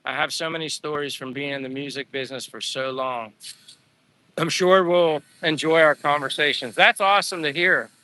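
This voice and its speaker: Bright, chatty middle-aged man, going hoarse. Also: fairly high pitch, moderate pace, poor audio. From Pennsylvania, USA. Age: 50s. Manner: rambling, chatty, answers viewers by name. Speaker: livestream vlogger. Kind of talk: casual live chat reading comments while walking. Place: outdoors on a walk.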